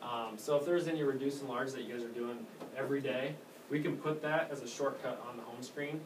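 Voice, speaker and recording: A man giving informal hands-on training as a technician, talking casually and haltingly and often trailing off. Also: medium pitch. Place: indoor room at an elementary school. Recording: distant mic, echoey room, clear background noise.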